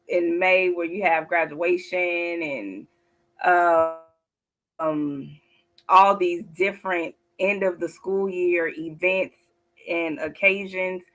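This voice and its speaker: A woman co-hosting a casual sports talk show, chatty and quick to laugh. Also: lowish voice, fairly bright tone, poor audio. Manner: chatty, laughs often, drawn-out asides. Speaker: co-host.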